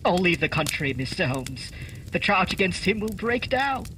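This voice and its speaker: A man's voice: sits high, very animated, moderate pace, background noise. Man playing a nervous, pleading petty thief. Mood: guilty, pleading. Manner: anxious, pleading, faltering. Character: nervous, guilty male hotel attendant, weak-willed petty thief. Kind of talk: holiday radio play acting.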